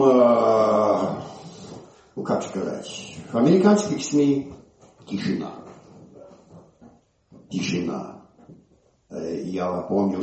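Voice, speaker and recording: An older man, an English speaker, presenting his book in Russian with a halting manner, recovering from a cold. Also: on the low side, warm tone. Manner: halting, many hesitations and restarts. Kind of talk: informal presentation speech. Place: museum hall. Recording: echoey hall, from far off.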